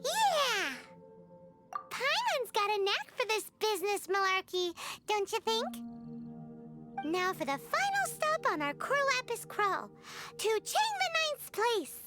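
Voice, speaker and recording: Tiny squeaky fairy sidekick from a game, super high, chirpy n cheeky, takes it slow, loud music behind. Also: female voice, very animated, heavily acted. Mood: triumphant. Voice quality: squeaky, childlike. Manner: refers to self in third person, perky. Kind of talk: video game character acting.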